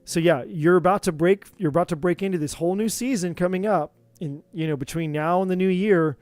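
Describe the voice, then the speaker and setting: A man doing a live tarot reading, talking in an earnest, encouraging way with many repeats, his voice going a bit hoarse. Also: sits high, a little fast, a little mellow, no echo, faint music behind. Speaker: tarot reader.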